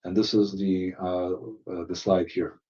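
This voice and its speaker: Halting man on a muffled webinar call. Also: sits low, level tone, warm tone. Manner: halting, many fillers and restarts. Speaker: webinar presenter. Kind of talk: explaining software settings in a webinar. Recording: distant, muffled lo-fi audio.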